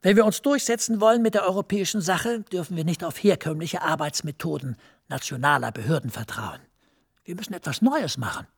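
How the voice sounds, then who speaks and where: A gravelly, persuasive EU director. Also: male voice, on the high side, animated, full character voice. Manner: smooth, measured, persuasive. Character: smooth senior EU director, middle-aged man, persuasive. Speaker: EU official.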